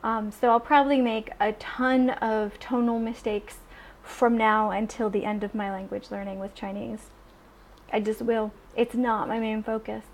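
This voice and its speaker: Woman, young adult, casual vlog talk, animated, restarts often, slightly high pitch. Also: unhurried. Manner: chatty and animated, with many ums and restarts. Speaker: vlogger.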